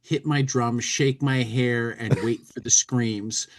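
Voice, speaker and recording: Male podcast co-host, mid-range voice, casual and halting, moving between loose commentary and dramatic read-aloud. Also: mid-range voice, fairly even intonation. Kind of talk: casual commentary with dramatic reading from a humor magazine.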